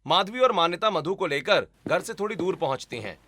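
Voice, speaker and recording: A man's voice: sits high, lively intonation, a little fast, pushed and bright, no echo. A man giving scripted, dramatic narration of a story as its narrator.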